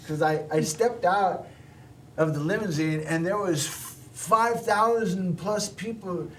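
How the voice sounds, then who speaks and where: Rambling storyteller man, high voice, distant mic. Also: slow pace, slight background noise. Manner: rambling, with frequent restarts and laughs. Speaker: show host telling a personal story. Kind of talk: casual storytelling chat. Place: small local TV studio.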